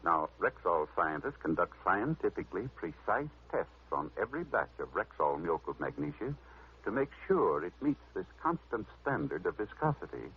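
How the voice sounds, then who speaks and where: A man's voice: deep voice, slight background noise. A man acting an earnest, reassuring American family druggist in a scripted 1940s radio commercial. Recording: tinny vintage radio recording.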